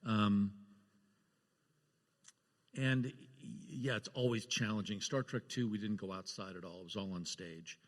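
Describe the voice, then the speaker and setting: A man with a lowish voice speaks as a producer on a panel, recalling past work in a casual way. The sound is live and reverberant, from a big hall. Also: steady tone, unhurried, pauses often. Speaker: panel guest, film producer.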